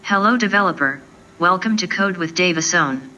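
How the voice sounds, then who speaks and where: A woman's voice: lowish voice, moderate pace, pushed and bright, a little hiss or hum, low-quality recording. A robotic female text-to-speech voice reading out a message in a synthetic style.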